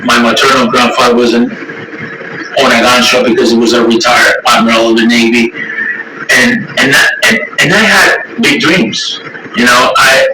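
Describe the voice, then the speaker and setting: A man's voice: mid-range voice, normal speed. Middle-aged man, rambling testimony. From Ecuador. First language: Spanish. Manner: rambling, repeats words, swears. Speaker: interviewee sharing recovery story. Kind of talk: candid personal testimony. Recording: echoey room from far off, heavy noise.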